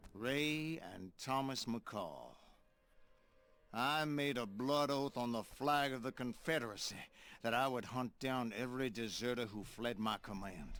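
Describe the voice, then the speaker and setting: A vengeful Confederate colonel, a game villain who swings dramatically between low menace and thundering highs. He speaks with a drawl and preaches his threats grandly, over faint music. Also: a bit bright. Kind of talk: dramatic video game cutscene acting.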